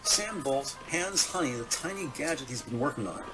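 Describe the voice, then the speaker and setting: A man's voice: medium pitch, animated, moderate pace, far from the mic. Male detective-story narrator over music. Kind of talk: scripted present-tense detective story narration.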